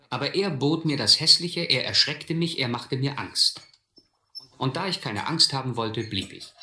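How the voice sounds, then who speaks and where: Very bright-voiced reflective storyteller. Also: male voice, medium pitch, reverberant, heavily acted. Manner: measured, literary storytelling. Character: reflective man recalling his youth as a runaway. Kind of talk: first-person scripted narration in German radio play.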